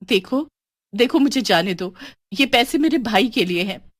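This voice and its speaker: A woman's voice: a little high, lively intonation, a little slow, no room sound, clean studio sound, voice pushed up. Woman playing an earnest, kind young woman. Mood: frightened, defiant. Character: kind, hard-working young woman, devoted to her family. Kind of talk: dramatised storybook dialogue.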